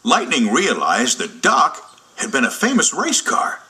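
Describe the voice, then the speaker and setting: A man narrating a children's storybook from a script in a dramatic, expressive storytelling manner. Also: mid-range voice, measured pace. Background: loud musical score.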